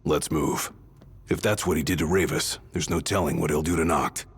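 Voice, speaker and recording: An actor playing a practical, loyal bodyguard in a video game, speaking in a terse, blunt, casual way. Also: male voice, extremely low pitch, steady tone, normal speed, breathy edge, exaggerated voice. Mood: determined. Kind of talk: video game cutscene acting. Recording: music over the voice.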